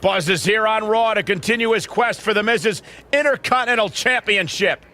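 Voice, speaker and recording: An actor playing an excited TV wrestling commentator in a video game, delivering sports commentary. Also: male voice, high-pitched, moderate pace. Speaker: wrestling announcer.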